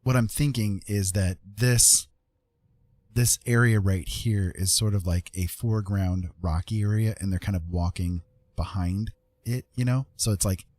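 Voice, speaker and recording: Male art streamer, deep husky voice, casual rambling delivery, hedges and thinks aloud. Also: fairly even intonation, measured pace, darkish tone, dry recording. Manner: laughs often. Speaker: livestream host, digital artist. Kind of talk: casual chat while making art.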